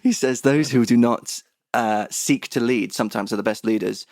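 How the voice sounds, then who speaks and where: A man's voice: medium pitch, moderate pace, a little mellow, no echo, studio quality. A man co-hosting a podcast from home over an internet call, chatting about a film and stumbling through quotes with fillers. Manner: chatty, many fillers while recalling quotes. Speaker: podcast co-host. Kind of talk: casual chat about a film.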